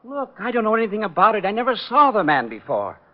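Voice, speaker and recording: An actor playing a nervous, indignant songwriter under suspicion in an old-time radio crime drama. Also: male voice, sits high, very animated, fast talker. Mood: indignant, flustered. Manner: flustered, protesting. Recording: muffled vintage radio recording.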